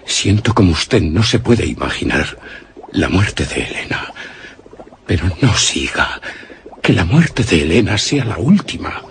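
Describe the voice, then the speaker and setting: An anguished, defiant hero in a horror radio play. He speaks slowly and deliberately, with very wide dramatic swings of pitch, over faint noise. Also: very animated, full character voice. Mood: sorrowful pleading. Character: defiant, anguished hero pleading against a madman. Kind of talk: suspense radio theatre acting.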